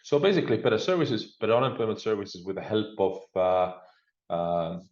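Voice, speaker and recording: A man's voice: fairly low pitch, big pitch swings, moderate pace, a little mellow, reverberant, dull audio. A man with an Estonian accent giving a conversational conference presentation remotely, peppering it with informal fillers like "look" and "you know". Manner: informal, uses frequent discourse markers like "look" and "you know". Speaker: guest speaker, digital government expert. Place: speaking remotely over a video link.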